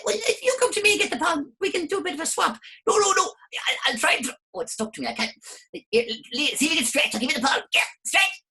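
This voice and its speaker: A man voicing two excitable little flowers chatting in a field, stammering and squeaky. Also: full character voice.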